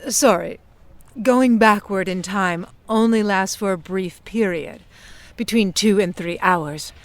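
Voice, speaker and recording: An actress playing a composed envoy from the future, formal, precise and businesslike, in an audio drama. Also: female voice, medium pitch, takes it slowly, no echo. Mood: precise, instructive.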